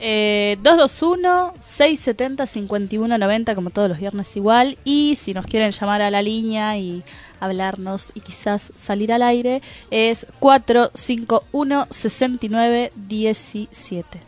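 A woman's voice: fairly high pitch, unhurried, faint music behind. A woman with an Argentine accent co-hosting on radio, making casual announcements in informal chat. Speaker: radio co-host.

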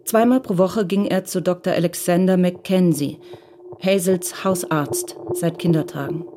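A woman reading scripted narration for a radio crime drama in a composed, measured way. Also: fairly low pitch, noisy background.

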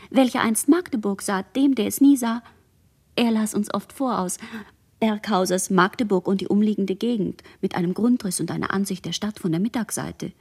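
A high, breathy girl's voice, drifting through a radio play monologue. She sounds dazed and incantatory, piling up fragments and sinking often toward a whisper. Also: darkish tone. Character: dazed girl, sole surviving witness, drifting in fragmented monologue.

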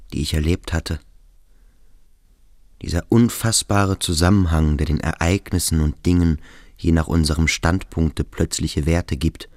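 An actor playing a troubled, pensive young male pupil in a literary radio play, speaking gravely and haltingly. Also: low voice, darkish tone, dry recording, studio quality.

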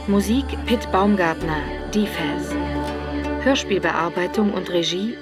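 A woman with a fairly low voice reads scripted radio drama credits as the announcer. Loud music plays behind her. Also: measured pace.

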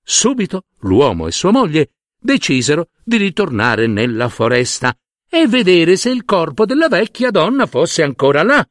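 A man narrating a fairy tale expressively as a storyteller, with dramatic, deliberate pauses. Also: high voice, a bit bright, no room sound, studio quality.

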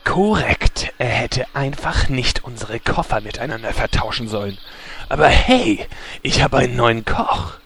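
A man's voice: mid-range voice, measured pace, gravelly, fairly bright tone. A man playing a blithe, sincere-sounding adventurer in a scripted comedy audio drama.

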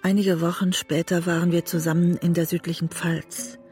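An actress playing a weary, emotionally raw middle-aged woman in a radio drama, intimate and restrained, with a husky, breathy voice. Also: on the low side, little pitch movement, normal speed, darkish tone, soft background music, natural voice. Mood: reflective. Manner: intimate, restrained, literary narrating. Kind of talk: radio drama narration and dialogue.

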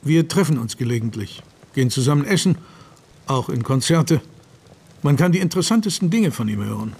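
An actor playing a thoughtful, inquiring gentleman in a radio play, measured and courteous. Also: mid-range voice, expressive, husky. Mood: intrigued. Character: thoughtful, inquiring gentleman telling a strange tale. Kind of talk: radio drama acting. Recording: faint noise and ambience behind.

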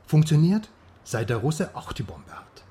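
An actor playing a talkative, teasing Western journalist with a theatrical manner in a radio crime drama. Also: male voice, on the high side, big pitch swings, measured pace, warm tone. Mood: playful musing. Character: chatty, mocking Western male journalist in East Berlin.

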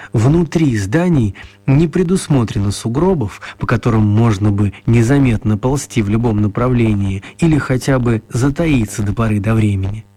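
A man narrating a children's radio play as an expressive, mock-serious storyteller. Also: mid-range voice, slightly rough, no echo.

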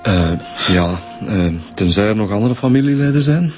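A man's voice: fairly low pitch, lively intonation, moderate pace, a little mellow, faint music behind, close to an everyday voice. A man with a Flemish accent playing an easygoing, bantering police inspector, conversational in a radio drama. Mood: inquiring.

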